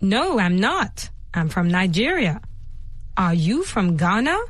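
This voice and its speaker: Female radio language teacher, husky voice with lively intonation, didactic and deliberate, faint music behind. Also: mid-range voice, dry recording, clean studio sound. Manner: didactic, deliberate enunciation of examples. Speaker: language lesson presenter. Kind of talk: scripted bilingual English lesson for radio, with repeat-after-me drills. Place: radio studio.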